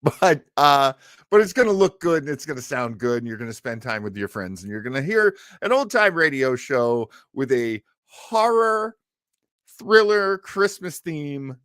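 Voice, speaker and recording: Animated man hosting a radio show. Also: medium pitch, a little slow, smooth, dry recording, clean studio sound. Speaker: host of an old-time radio show. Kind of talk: casual chat and show intros.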